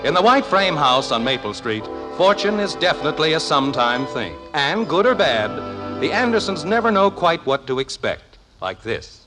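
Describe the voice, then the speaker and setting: A male 1950s radio announcer with a fairly high, very animated voice. He narrates in a theatrical, folksy way over prominent music. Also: moderate pace, low-quality recording.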